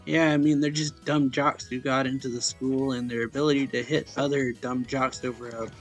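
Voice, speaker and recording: A man's voice: medium pitch, level tone, normal speed, faint music behind. A man reading a visual novel aloud in a casual, informal way, narrating and voicing the lines as he goes. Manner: informal, stumbles and corrects misreadings.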